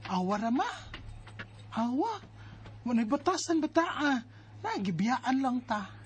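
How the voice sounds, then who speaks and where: A woman acting a bossy, concerned married elder sister in a Bisaya radio drama, with an expressive, nagging and exasperated delivery. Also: sits high, takes it slowly, slight background noise, heavily acted. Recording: music bed under the voice. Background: background music.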